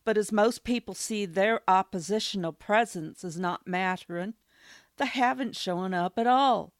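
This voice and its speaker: A woman's voice: medium pitch, unhurried, no echo, studio quality, natural voice. A woman playing an everyday woman in a satirical radio drama. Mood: earnest.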